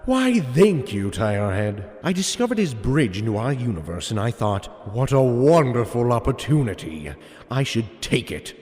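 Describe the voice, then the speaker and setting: A man's voice: medium pitch, big pitch swings, normal speed, darkish tone, in a large hall, soft background music, lightly acted. A man playing a huge, gloating, theatrical supervillain mastermind in an audio drama, with a grandiose, taunting delivery. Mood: scheming, boastful. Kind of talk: hobby audio drama acting.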